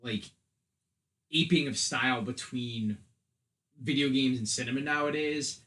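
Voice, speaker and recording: A man's voice: mid-range voice, a little slow, pushed and bright. A man in a casual podcast discussion, talking analytically in a rambling way, often saying "like". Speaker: podcast panelist. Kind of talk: casual film and game discussion.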